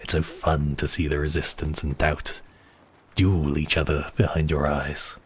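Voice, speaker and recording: Vampire count played by a man for ASMR roleplay: very low, unhurried, seductive and possessive, breathy, teasing. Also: little pitch movement, full character voice. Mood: amused, intrigued. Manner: slow, teasing, intimate, with whispered phrases. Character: seductive, possessive male vampire count toying with his captive.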